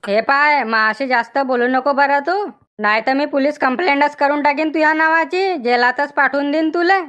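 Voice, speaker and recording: A high-pitched, piercing village woman from a cartoon, played by a woman. She scolds and bosses in a rural Maharashtrian accent, fiery and quick to insult. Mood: angry, threatening. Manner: sharp-tongued, bossy, scolding. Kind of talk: comic cartoon dialogue.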